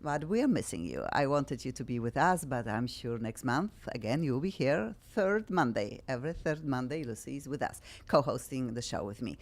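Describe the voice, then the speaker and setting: A middle-aged woman from Poland with a Polish accent, hosting a talk show in an effusive, animated way. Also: very deep voice, normal speed, hardly any pauses. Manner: effusive, bubbly, animated, frequent laughs. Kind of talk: excitable talk show chat.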